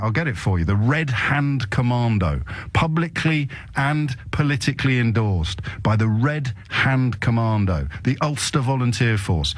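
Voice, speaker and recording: A man's voice: mid-range voice, no room sound. Impassioned male talk radio host. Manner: impassioned, indignant, emphatic repetition. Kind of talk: opinionated talk radio monologue. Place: radio studio. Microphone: studio microphone.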